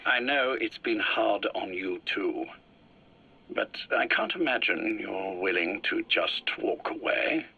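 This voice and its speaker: An actor playing a calculating male spymaster in a game audio drama, speaking in a measured, formal, condescending way over a call. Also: lowish voice, lively intonation, creaky, husky, exaggerated voice. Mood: firm, calculating. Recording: dull radio-like lo-fi call audio.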